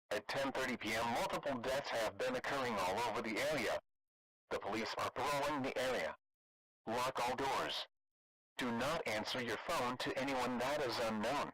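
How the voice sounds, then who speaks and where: A man's voice: medium pitch, husky, poor audio. A man as stern emergency alert announcer. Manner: flat, official, terse. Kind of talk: scripted emergency broadcast announcement.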